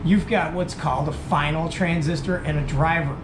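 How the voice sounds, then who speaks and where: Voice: medium pitch, steady tone, normal speed. Casting: folksy how-to host, casual and repetitive for beginners, recorded in a roomy space with background noise. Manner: folksy, repeats points for beginners. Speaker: host demonstrating radio gear. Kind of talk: casual hands-on tutorial.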